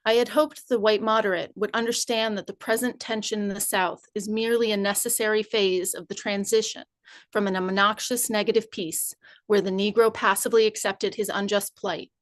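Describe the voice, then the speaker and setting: A woman from the community reading a written text aloud in a measured, deliberate, formal recitation. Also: medium pitch, no echo.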